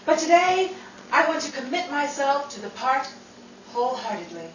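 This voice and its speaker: A woman's voice: high-pitched, big pitch swings, normal speed, distant mic, muffled recording. A woman playing an eager, theatrical aspiring actress in a 1940s-style radio comedy, recorded in a noisy, echoey room. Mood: eager, dreamy.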